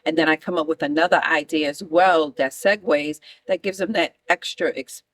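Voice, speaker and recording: A woman from New York with a New York accent, a guest chatting casually about her business and telling lively stories. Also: deep voice, moderate pace. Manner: lively storyteller who acts out quoted voices. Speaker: guest, business owner and photographer. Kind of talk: casual conversational interview.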